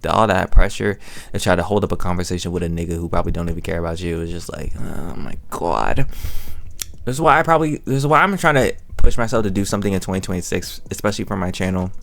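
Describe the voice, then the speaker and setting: A man's voice: low voice, vocal fry, a bit bright. A man giving a casual, chatty review commentary as host, rambling and repeating himself in slangy talk. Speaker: reviewer of an audio drama and channel host.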